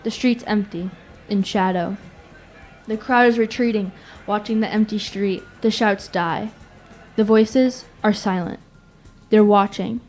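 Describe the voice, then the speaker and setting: A woman's voice: mid-range voice, steady tone, measured pace, darkish tone, lightly acted. A teenage girl playing an urgent announcer in a verse radio play, declaiming in short phrases as she watches a city fall. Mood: tense watching. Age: teens. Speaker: student actor. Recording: music behind the voice.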